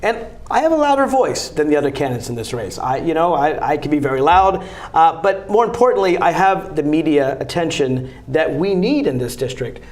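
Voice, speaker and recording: A man with a fairly high speaking voice makes his campaign pitch in a TV studio interview. He talks fast and emphatically, pressing each point like a fighter. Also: animated, darkish tone. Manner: emphatic, combative, rapid-fire argument. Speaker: congressional candidate. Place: local cable TV studio.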